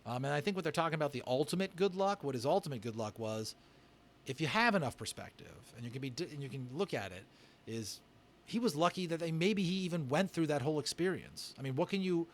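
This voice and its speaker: A man's voice: fairly high pitch, lively intonation, fast talker. A man reading study questions aloud in an informal, conversational way, adding his own thoughts as he goes. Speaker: voice actor and book reader hosting a read-aloud. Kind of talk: reading discussion questions aloud with casual asides.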